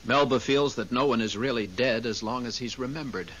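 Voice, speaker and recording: An actor playing a naive, sentimental newly dead husband's ghost in a radio drama, earnest and polite. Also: male voice, mid-range voice, fairly quick, fairly bright tone, husky, muffled recording. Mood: earnest, puzzled. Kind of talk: 1970s radio drama acting. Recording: hissy old radio recording.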